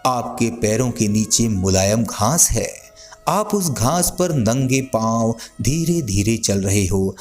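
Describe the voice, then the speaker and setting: A man narrating a guided sleep meditation in a soothing, gently instructing manner. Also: medium pitch.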